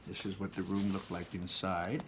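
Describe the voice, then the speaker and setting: A man's voice: deep voice, flat delivery, moderate pace, noisy background. A man reading a children's book aloud as a storyteller, with dramatic emphasis on descriptive words and casual asides.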